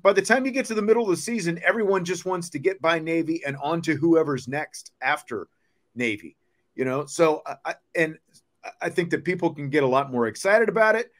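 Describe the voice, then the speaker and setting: Male sports talk host, somewhat high-pitched, casual and rambling, tends to restate points. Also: a bit bright.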